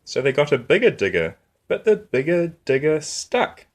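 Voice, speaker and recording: A man reading a rhyming picture book aloud for young children in an expressive, rhythmic way, as a storytime host. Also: on the high side, normal speed.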